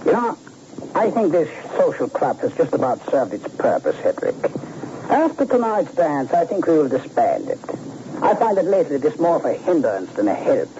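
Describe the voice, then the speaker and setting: Old radio serial villain, smug and theatrical. His voice sits fairly high and swings widely in pitch as he condescends. The recording is dull and aged, with faint noise. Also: sing-song, normal speed, dark tone. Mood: confident, scheming. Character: smug, scheming male villain, a diamond smuggler. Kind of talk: old radio drama acting.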